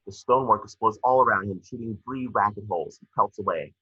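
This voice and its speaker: A man narrates screenplay action in a lowish, husky voice with a forward, bright edge. He reads stage directions as at an amateur table read and trips over the odd word. The recording is slightly dull and homemade. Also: brisk. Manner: stumbles over words while reading.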